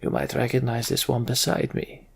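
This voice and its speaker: Guy doing a huge gruff old-war-horse commander, very gravelly, drawn out, fantasy game. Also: mid-range voice, big pitch swings, normal speed, heavily acted. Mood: gruff, weary. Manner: brusque, challenging. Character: battle-scarred gruff giant Varl warrior commander.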